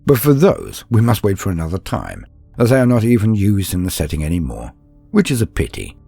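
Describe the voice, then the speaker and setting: A man with a deep voice that swings widely in pitch as he narrates lore and stories. His delivery is formal and theatrical, with a grandiose turn to each phrase. Music plays along behind him. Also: wildly swooping pitch, brisk, creaky, mellow, slightly rough, no room sound. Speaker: host of a lore channel and storyteller. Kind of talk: scripted lore narration and dramatic storytelling.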